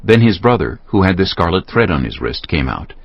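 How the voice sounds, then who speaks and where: A man narrating a dramatized scripture text in a measured, solemn storytelling style. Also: low voice, very animated. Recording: dull lo-fi audio, faint music behind.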